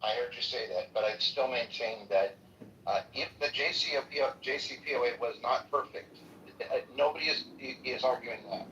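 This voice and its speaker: A man debating politely in a political call-in discussion, hedging his points and measured. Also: medium pitch, bright, forceful tone, roomy sound, recorded from a distance, lo-fi sound, slight background noise.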